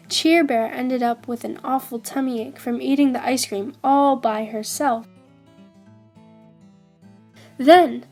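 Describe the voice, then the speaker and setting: A woman's voice: high voice, a little mellow. A woman reading a children's picture book aloud as scripted narration. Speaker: volunteer storybook reader.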